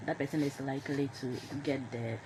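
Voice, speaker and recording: A woman's voice: very deep voice, fairly even intonation, brisk. A woman with a Ghanaian accent giving her opinion conversationally as a panelist in a radio discussion. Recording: noticeable background noise.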